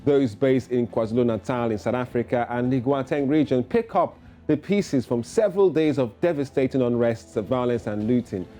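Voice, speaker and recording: Male news anchor, mellow voice, halting delivery, faint music behind. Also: mid-range voice. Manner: hesitant with many fillers. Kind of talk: morning news presenting.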